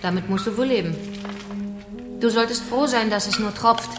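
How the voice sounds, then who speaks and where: An actress playing a vengeful kidnapper in a radio crime drama, composed and taunting, speaking deliberately. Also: female voice, a little high, lightly acted. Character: vengeful female kidnapper, controlled, taunting, bitterly righteous. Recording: echoey room with music behind.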